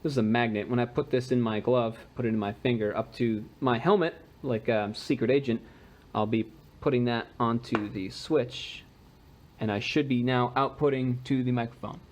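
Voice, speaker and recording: A man's voice: mid-range voice, fairly even intonation, normal speed, a little mellow, a little hiss or hum. Casual man demonstrating a homemade gadget. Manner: casual, unpolished, conversational. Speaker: hobbyist maker presenting a homemade gadget. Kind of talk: informal demonstration and explanation.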